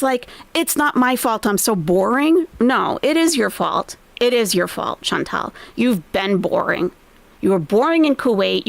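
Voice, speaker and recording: A woman's voice: mid-range voice, creaky, dry recording. An American woman giving blunt, sarcastic commentary on a live stream, scolding the streamer. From United States.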